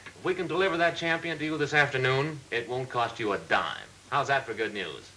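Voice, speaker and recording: An actor playing a smooth, persistent car salesman with polite, persuasive, methodical patter in a mid-century training film. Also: mid-range voice, fairly quick, bright, forceful tone, poor audio. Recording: old film soundtrack with faint hiss.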